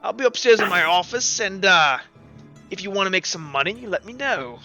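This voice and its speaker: A man's voice: high voice, expressive, normal speed, bright, forceful tone, faint music behind, voice pushed up, heavily acted. A man voicing a brash, foul-mouthed male boss in a tabletop game, blunt, needling and cocky. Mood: cocky, dismissive.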